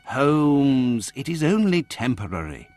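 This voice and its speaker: An actor playing a genial, busy Victorian physician in a video game, chatty and formal in an old-fashioned way. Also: male voice, medium pitch, very animated, takes it slowly, a little mellow, no echo, soft background music. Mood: reassuring. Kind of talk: video game cutscene acting.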